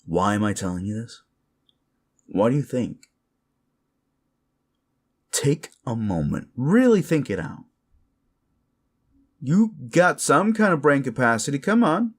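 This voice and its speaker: A man's voice: medium pitch, lively intonation, measured pace, pauses often. A man playing a sleazy, bullying werewolf office boss in an audio roleplay, condescending, cajoling and foul-mouthed as he berates the listener. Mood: condescending, exasperated. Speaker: voice actor performing a roleplay.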